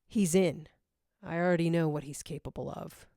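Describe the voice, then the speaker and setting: A woman's voice: deep voice, normal speed, vocal fry, dark tone, no room sound, studio quality. Woman playing a curt, brooding male jackal leader. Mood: curt, commanding. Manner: curt, disinterested.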